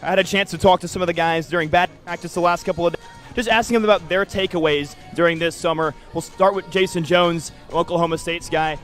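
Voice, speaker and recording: Fast-talking, higher-pitched young male sportscaster. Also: fairly even intonation, a bit bright, faint music behind. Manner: polished, fluent broadcast delivery. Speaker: sports broadcaster, interviewer and sideline reporter. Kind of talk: upbeat sports interview and sideline report.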